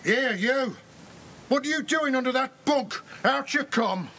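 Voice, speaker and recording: An actor playing a gruff, threatening male caravan driver in an audio drama, recorded on noisy tape. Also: very high-pitched, measured pace. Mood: menacing.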